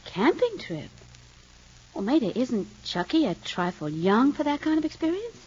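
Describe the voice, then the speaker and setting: An actress playing a devoted wife in an old radio soap, speaking gently and a little hesitantly, on a lo-fi vintage recording. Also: female voice, high voice, sing-song, moderate pace, breathy edge, full character voice. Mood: flustered. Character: devoted young wife longing to adopt. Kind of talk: 1940s radio acting.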